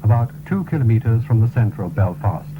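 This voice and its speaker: A man reading a formal, scripted radio news bulletin in a measured way, on a 1970s broadcast. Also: fairly low pitch, very animated, music in the background. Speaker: radio newsreader. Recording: tinny, narrow-band 1970s radio broadcast recording.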